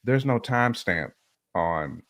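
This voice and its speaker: A man with a deep, low voice talks in a relaxed conversational style. He makes his points emphatically, circling back on phrases to drive them home as a guest on a podcast. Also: slow pace. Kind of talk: casual conversational opinion talk.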